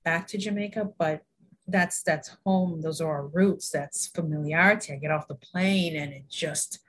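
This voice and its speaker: Husky-voiced woman, earnest and chatty. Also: low-pitched, normal speed. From New York, USA. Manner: warm, earnest, laughs often, many fillers. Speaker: guest, health education consultant. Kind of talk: casual interview conversation.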